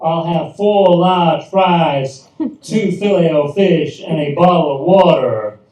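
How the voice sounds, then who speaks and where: A British man putting on an over-enunciated American accent to order food, recorded in an echoey room from a distance. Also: a little high. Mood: over-careful. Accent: put-on American. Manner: slow, loud, over-enunciated. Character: man himself faking an American drive-through order. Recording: echoey room, mic far off.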